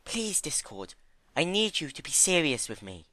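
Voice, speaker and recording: A man voicing a timid, gentle young female pony with an earnest, hesitant manner, in a story reading. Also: fairly high pitch, very animated, normal speed, no room sound, voice pushed up. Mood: pleading.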